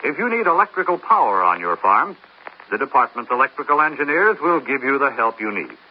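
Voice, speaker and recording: A man's voice: medium pitch, very animated, brisk, a little hiss or hum. Earnest man reading a public service message. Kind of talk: scripted public service announcement. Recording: muffled old radio broadcast.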